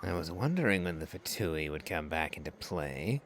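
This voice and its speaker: A man's voice: low voice, expressive, moderate pace, fairly bright tone, a little hiss or hum. A man giving casual video game commentary, musing and thinking aloud as he plays. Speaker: streamer playing a video game.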